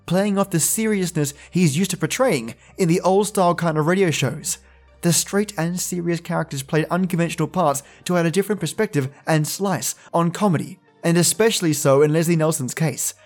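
A man hosting a podcast, reading scripted spooky storytelling narration in a theatrical way with dramatic pauses. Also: a little high, faint music behind.

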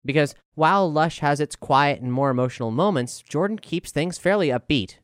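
A man reading a scripted music review as a narrator, with a clear, smooth voice over music. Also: fairly high pitch, normal speed, dry recording, studio quality.

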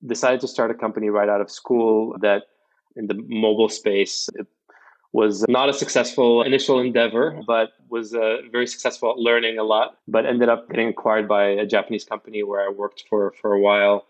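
Low-voiced man from Morocco, reflective. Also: level tone, dull audio. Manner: measured, reflective, with fillers and restarts. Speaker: guest, company founder. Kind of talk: casual interview.

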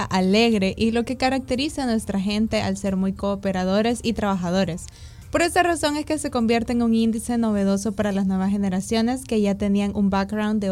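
Salvadoran woman radio co-host, a bit high-pitched, upbeat scripted read. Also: darkish tone.

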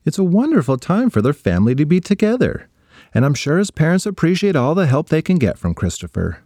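A man doing a sweet, gentle schoolteacher lady. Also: a little high, big pitch swings, brisk, dry recording, clean studio sound, pitched above the performer's own voice. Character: kind patient female schoolteacher.

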